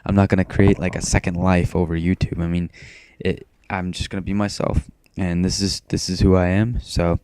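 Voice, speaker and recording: Low monotone teenage boy, smooth voice. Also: normal speed. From Maine, USA. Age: teens. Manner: self-described monotone, rambling with restarts. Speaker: gaming commentator. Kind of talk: casual gameplay commentary.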